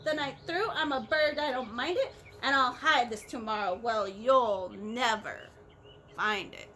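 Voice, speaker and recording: A woman's voice: a little high, measured pace, pushed and bright, slight background noise. Woman voicing a sneering, taunting eagle. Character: mean sneering male eagle.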